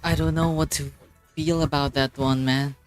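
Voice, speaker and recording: A man's voice: fairly high pitch, level tone, normal speed, clean tone, faint music behind. A man doing casual live game commentary, thinking aloud in an informal, exclamatory way. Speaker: streamer reacting to a game story.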